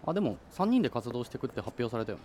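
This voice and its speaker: A man's voice: medium pitch, very animated, rapid-fire, a little mellow, close to an everyday voice. A man playing an easygoing, teasing schoolboy in casual radio drama banter, full of questions and dry retorts. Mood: helpful, dry. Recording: faint background noise and ambience.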